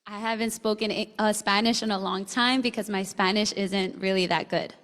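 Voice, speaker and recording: A woman's voice: mid-range voice, steady tone, unhurried, fairly bright tone, big-room sound. A woman interpreting the director's words, in a plain manner. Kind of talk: consecutive interpreting.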